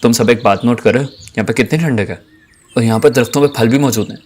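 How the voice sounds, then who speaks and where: A man's voice: medium pitch, a little fast, a little mellow, a little hiss or hum, lightly acted. A man playing a cautious, bossy young male university student in an amateur audio drama, with an emphatic, dramatic delivery. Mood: delighted.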